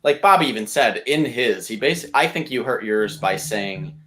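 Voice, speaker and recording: A man chatting informally as a podcast contestant pitching movie ideas. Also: lively intonation, moderate pace, a bit bright. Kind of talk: casual banter and pitching.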